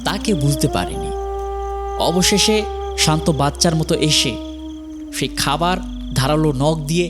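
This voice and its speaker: A man's voice: fairly high pitch, moderate pace, music in the background. A man giving dramatic scripted narration of a horror audio story.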